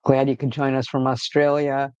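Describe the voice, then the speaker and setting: A man hosting a casual livestream, rambling in a relaxed way with frequent fillers and restarts, talking from home. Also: mid-range voice, moderate pace, clear, resonant tone, no room sound, lo-fi sound. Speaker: livestream host.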